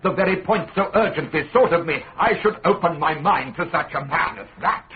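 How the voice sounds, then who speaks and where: An actor with a British accent playing a principled, defiant prisoner in a radio courtroom drama, speaking in measured, lawyerly oratory. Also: male voice, on the high side, lively intonation, narrow, tinny audio, natural voice. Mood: indignant, solemn. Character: principled accused statesman, grave, eloquent, defiant prisoner.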